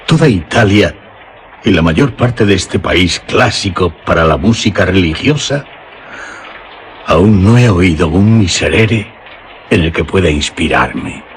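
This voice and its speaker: An actor playing an exacting, impatient wandering musician with emphatic, theatrical radio drama delivery. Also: male voice, deep voice, lively intonation, a little slow, a little hiss or hum. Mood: disappointed, emphatic. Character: weary male musician, exacting and impatient pilgrim.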